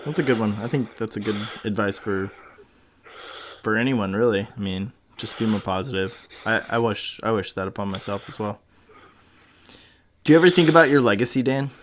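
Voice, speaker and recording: A man's voice: fairly low pitch, a little fast, slight background noise. A man hosting a podcast in an informal, encouraging, conversational way. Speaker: podcast host. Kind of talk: casual interview chat.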